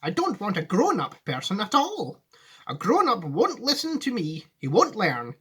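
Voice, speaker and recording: A man reading a storybook aloud, voicing an excitable, commanding old factory owner in an emphatic manner. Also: high-pitched, normal speed, breathy edge, pitched above the performer's own voice, exaggerated voice. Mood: earnest. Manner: emphatic, exclamatory.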